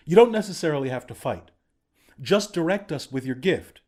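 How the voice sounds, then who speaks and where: A man's voice: medium pitch, darkish tone, lightly acted. A man playing a battle-hardened resistance commander in a video game, plain-spoken, protective and reassuring. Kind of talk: video game character voice lines.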